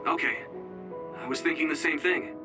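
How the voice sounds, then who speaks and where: A man's voice: on the high side, fairly even intonation, normal speed, recorded from a distance, music in the background, lightly acted. A man playing an earnest, friendly young platoon leader in an English video game dub. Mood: agreeable.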